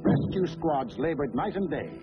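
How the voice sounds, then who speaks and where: Male narrator, 1940s wartime documentary. Emphatic, raised pitch, muffled vintage film audio, loud score. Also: very animated, moderate pace, over music. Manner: dramatic, emphatic delivery. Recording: dull, band-limited 1940s film soundtrack. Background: loud orchestral score.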